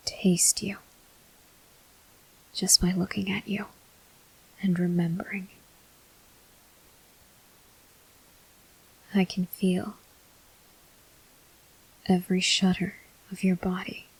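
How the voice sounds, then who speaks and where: Woman acting as brooding male vampire officer, very breathy ASMR whisper, very slow halting phrases, grave, formal, guilt-ridden. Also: fairly low pitch, heavily acted, poor audio. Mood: tormented, hungry. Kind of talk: ASMR roleplay to the listener.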